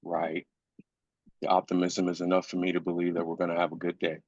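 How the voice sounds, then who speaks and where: A man's voice: low voice, completely flat delivery, fairly quick, a little mellow, no echo. A man playing a weary, grieving husband and father in a screenplay reading, insistent yet supportive. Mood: gently encouraging. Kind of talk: live staged screenplay reading. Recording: dull, lo-fi sound.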